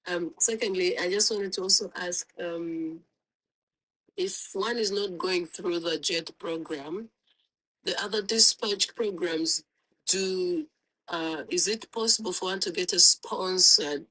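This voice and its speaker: A woman's voice: on the low side, takes it slowly, bright, forceful tone, low-quality recording. A woman calling into a live stream, asking questions hesitantly with many fillers and apologies. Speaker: caller.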